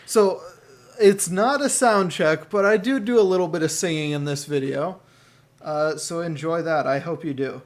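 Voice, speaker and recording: Gadatliwy młody mężczyzna, nieco wysoki głos. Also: male voice, on the high side, normal speed. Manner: chatty, rambling, with restarts and laughs. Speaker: co-host reading viewer comments. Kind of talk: casual chat.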